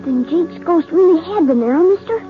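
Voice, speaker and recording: A woman's voice: very high-pitched, animated, brisk. A woman playing an eager, wide-eyed young boy in an old-time radio drama. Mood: excited wonder. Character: eager young boy listening to a ghost story. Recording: tinny vintage radio, music behind.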